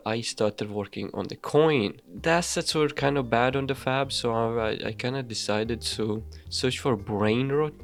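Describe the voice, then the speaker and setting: Male devlog narrator, casual and self-mocking, mid-range voice. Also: medium pitch. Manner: loose and offhand, frequent swearing and fillers; self-deprecating, hurried. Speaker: game dev YouTuber.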